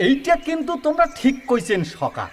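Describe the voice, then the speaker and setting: A man playing an excitable elderly village friend in a rural audio drama, bantering with loud exclamations. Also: very high-pitched, fairly quick, slight background noise, heavily acted.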